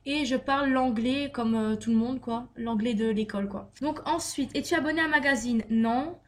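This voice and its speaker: A woman's voice: a little high, moderate pace. A young woman vlogger reading tag questions aloud and answering them in a chatty, giggly way, with frequent fillers and "donc voilà". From Luxembourg. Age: young adult. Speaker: beauty vlogger.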